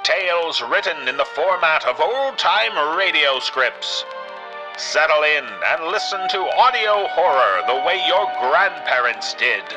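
A man performs an ominous old-time radio horror announcer. The voice is a little high and rather slow. Music plays clearly behind it. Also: expressive, rough recording.

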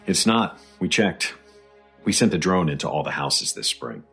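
An actor playing a patient doctor who gently coaxes and questions a witness in an audio drama. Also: male voice, a bass voice, big pitch swings, moderate pace, creaky, slightly rough, soft background music, exaggerated voice. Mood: probing, measured. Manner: coaxing, mild, inquisitive. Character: patient, probing male doctor interviewing a witness. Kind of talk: audio drama acting, investigative interview.

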